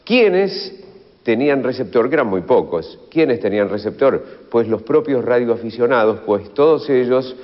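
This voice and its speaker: An Argentine man explaining as an interviewed expert, halting and often rephrasing himself. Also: medium pitch, lively intonation. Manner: halting, self-correcting. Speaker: radio history expert interviewee. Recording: dull, long reverberant room sound.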